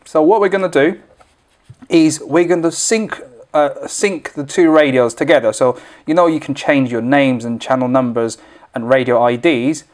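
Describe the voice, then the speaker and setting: A man's voice: mid-range voice, moderate pace. A man presenting an informal, unscripted product tutorial, explaining things step by step as he demonstrates.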